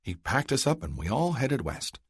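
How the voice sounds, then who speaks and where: A man's voice: mid-range voice, very animated, moderate pace, slightly rough, dry recording, clean studio sound, pitched above the performer's own voice. A man voicing a cool, charming young upper-class woman with a Philadelphia accent and a poised manner.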